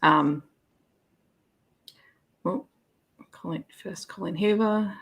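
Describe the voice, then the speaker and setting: A woman's voice: lowish voice, slow, creaky, low-quality recording. A woman hosting an online readalong, chatting casually about books. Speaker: host of an online readalong livestream. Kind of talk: casual livestream chat about romance books.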